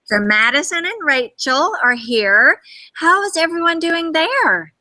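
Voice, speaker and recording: A woman's voice: high-pitched, lively intonation, a little slow, heavy background noise. A woman librarian hosting a read-aloud for young children, encouraging them and exclaiming as she goes.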